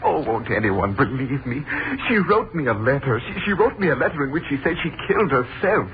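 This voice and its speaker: A man's voice: medium pitch, sing-song, fairly quick, natural voice. An actor playing a desperate young condemned man, pleading frantically in 1930s radio melodrama style. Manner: pleading, frantic, repeating himself. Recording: tinny, noisy 1930s radio broadcast.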